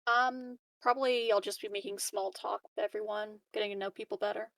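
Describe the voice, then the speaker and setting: Creaky-voiced woman, mid pitch. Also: steady tone, brisk, fairly bright tone, dry recording. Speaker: player in a tabletop roleplaying game. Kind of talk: casual game chat.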